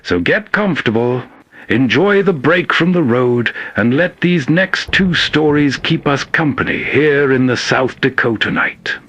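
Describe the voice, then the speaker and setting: A man hosting and narrating in a calm, soothing, scripted bedtime-story style. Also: medium pitch, unhurried. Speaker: host and narrator.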